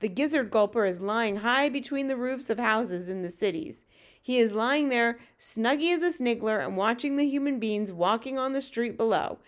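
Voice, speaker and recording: Lady reading as a gentle giant from a kids book, folksy n earnest, quaint muddled way of talking, kinda high voice. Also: animated, normal speed, natural voice. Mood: relishing, explaining. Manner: muddled, quaint grammar, explaining. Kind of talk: children's storybook character voice.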